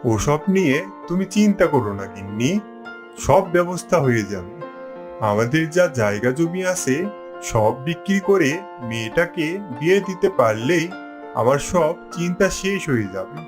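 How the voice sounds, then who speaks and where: A man's voice: on the high side, very animated, unhurried. A man playing an earnest, anxious father in a cartoon, arranging his daughter's wedding. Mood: worried, resolved. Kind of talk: cartoon dialogue acting. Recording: music behind the voice.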